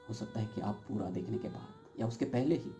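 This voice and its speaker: A man performing a stage monologue as a brooding, uncertain everyman, speaking deliberately and philosophically with dramatic emphasis straight to the audience. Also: lowish voice, creaky, warm tone, faint music behind. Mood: brooding, reflective. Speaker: actor performing an audition monologue.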